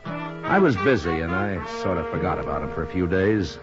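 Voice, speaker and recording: A man with an American accent playing a laconic, steady Old West marshal in a radio western, speaking in a dry, understated way. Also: low voice, normal speed, loud music behind the voice. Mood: matter-of-fact. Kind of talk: 1950s radio western acting. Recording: muffled vintage radio recording.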